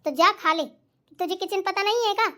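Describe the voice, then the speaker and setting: Woman acting very high-pitched, bossy mother-in-law. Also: a little fast, pushed and bright. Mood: exasperated. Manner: chiding, lecturing. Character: scolding, bossy mother-in-law keeping household order. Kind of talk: family comedy skit acting.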